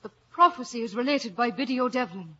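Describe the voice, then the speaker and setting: An actress playing a spirited, teasing young Irish village beauty with a dramatic lilt, in 1950s radio style. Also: female voice, a little high, lively intonation, fast, sharp tone, breathy edge, heavily acted. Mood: teasing, mysterious. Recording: muffled, faintly noisy old radio recording.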